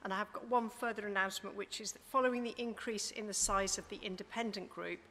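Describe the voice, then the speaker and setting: A woman serving as council chief executive, reading out apologies and notices in a crisp, businesslike manner. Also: mid-range voice, steady tone, fast, pushed and bright. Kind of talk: formal meeting business. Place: large council chamber. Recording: echoing hall with faint background noise.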